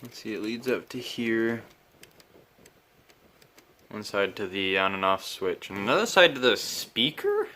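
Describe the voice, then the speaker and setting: A man giving casual, unscripted commentary as he repairs a vintage radio, thinking aloud in a halting, musing way. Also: on the low side, big pitch swings, sharp tone.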